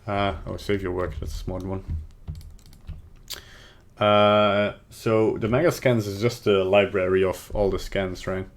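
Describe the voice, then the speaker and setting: Low-voiced Belgian man muttering while he works. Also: steady tone, unhurried. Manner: rambling, thinks aloud, mutters to himself while working. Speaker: livestreaming 3D artist. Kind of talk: casual live tutorial with chat. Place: home room at a desk. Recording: faint keyboard typing and clicks.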